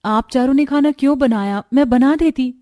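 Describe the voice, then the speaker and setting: A woman voicing a gentle, loyal young bride in a cartoon story, earnest and dutiful. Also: high voice, normal speed, no room sound, pitched above the performer's own voice, natural voice. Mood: surprised, concerned. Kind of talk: Hindi cartoon story dialogue.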